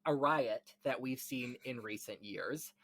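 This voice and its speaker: A man hosting a film podcast, talking in an animated, emphatic and chatty way. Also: medium pitch, a little slow. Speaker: podcast host. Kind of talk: theatrical scripted intro, then casual film chat.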